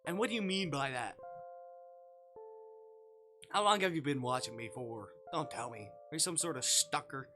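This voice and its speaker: A man's voice: mid-range voice, animated, moderate pace, pauses often, music in the background, close to an everyday voice. A man voicing a cocky, suspicious young man in a visual novel, startled by an intruder and speaking with sarcastic challenge. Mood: accusing. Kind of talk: visual novel character reading.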